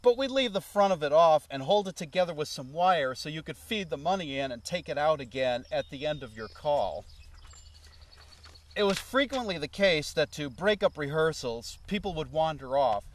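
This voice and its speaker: A man's voice: on the high side, moderate pace. Rambling man telling old stories. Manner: rambling, lively, frequent "you know" asides. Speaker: former road manager recalling the past. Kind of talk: casual anecdotal storytelling. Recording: voice a little far from the mic.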